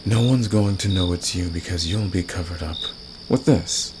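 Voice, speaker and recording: A man playing a confident, flirtatious and secretly protective fantasy villain in an ASMR romance roleplay, gravelly and intimate, with a teasing, smug manner. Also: moderate pace. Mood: smug, seductive. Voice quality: very deep, gravelly. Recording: clear background noise, night cricket ambience.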